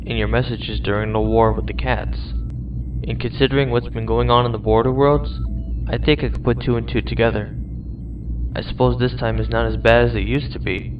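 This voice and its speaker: A man's voice: medium pitch, lightly acted. An actor playing a young male space cadet recording a worried message to his father, talking in a hesitant, wistful way. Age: young adult. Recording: distant, dull lo-fi recorded message with noise.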